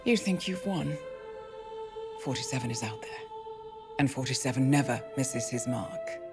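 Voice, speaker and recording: A woman's voice: extremely low pitch, expressive, normal speed. A woman playing a composed, defiant intelligence handler in a video game, cool and clipped. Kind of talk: cinematic video game acting. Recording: music behind the voice.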